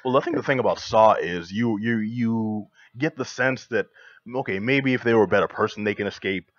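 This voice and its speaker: A hoarse man with bronchitis, joking around as co-host of a live radio show from a studio. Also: on the low side, fairly quick, dry recording, lo-fi sound. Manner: joking, playful wordplay. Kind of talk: casual radio banter.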